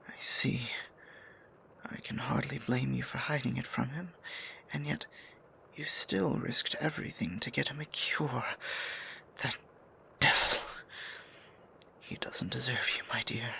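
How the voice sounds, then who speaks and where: A man playing a tender, possessive, courtly dark sorcerer in an intimate fantasy ASMR roleplay, speaking formally in a breathy, gravelly near-whisper. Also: mid-range voice, exaggerated voice. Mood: quiet indignation. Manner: formal, archaic endearments, slow deliberate phrasing.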